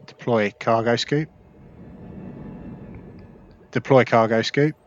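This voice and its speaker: A man's voice: medium pitch, slow, dull audio. A man giving casual gameplay commentary, chatty and thinking aloud as he plays. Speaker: gameplay streamer. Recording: loud engine noise behind. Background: loud spaceship game sounds.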